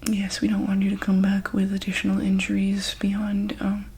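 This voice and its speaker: A woman playing a calm, caring monster-hospital doctor in an ASMR roleplay, speaking softly and hesitantly in a breathy whisper. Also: mid-range voice, moderate pace. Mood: gentle, reassuring. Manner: hesitant, gentle, soft-spoken.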